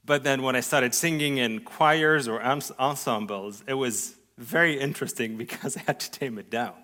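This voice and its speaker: An adult man delivering a sermon at a mid-range pitch. He speaks earnestly and deliberately, lifting his voice on key phrases and letting sentences fall away softly at the end. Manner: earnest, measured preaching with sweeping rises and soft trailing endings. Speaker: pastor.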